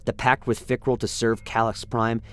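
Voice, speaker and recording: A man's voice: mid-range voice, flat delivery, moderate pace, fairly bright tone, clear, resonant tone. A man narrating a science-fiction radio play in a dramatic, scripted storytelling style. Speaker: narrator, lore reader.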